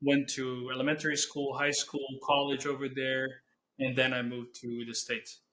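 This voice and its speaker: A young man from Serbia with a Serbian accent, answering interview questions in English as a non-native speaker, pausing to search for words. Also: medium pitch, monotone, pushed and bright, rough recording. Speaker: guest, business owner.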